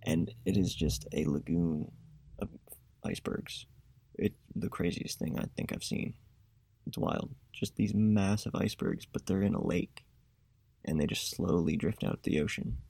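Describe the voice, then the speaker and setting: A young man, a student making a class podcast, telling a travel story in a casual, self-described monotone voice. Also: sits low, unhurried, vocal fry, breathy edge, no echo. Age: young adult.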